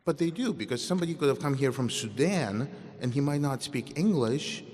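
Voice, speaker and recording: A man's voice: medium pitch, animated, brisk, darkish tone. Russian-accented man in an echoing hall. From Ukraine. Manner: candid, self-interrupting, conversational. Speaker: guest speaker. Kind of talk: Q and A at a convocation. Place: large hall. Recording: long hall reverb.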